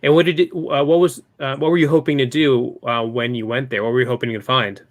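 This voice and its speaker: A man's voice: medium pitch, steady tone, brisk. A man hosting an interview over a video call, asking questions in an upbeat, friendly way. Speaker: host.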